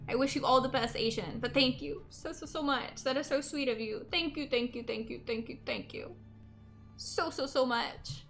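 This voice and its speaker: A young woman streamer with a bright, forceful voice that sits a touch high, a little hoarse from talking a lot. She chats loosely with viewers, rambling and stacking up repeated thank-yous, with soft music faintly behind her. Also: moderate pace. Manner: chatty, many filler likes, repeats thanks. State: a bit hoarse, sore throat. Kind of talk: casual chat while gaming.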